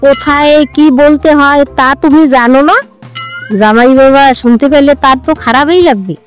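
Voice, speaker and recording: A woman's voice: high-pitched, normal speed, soft background music, lightly acted. A woman voicing a hospitable, doting older mother-in-law who coaxes in a motherly way in a comedy cartoon. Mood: motherly, firm. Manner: coaxing, motherly.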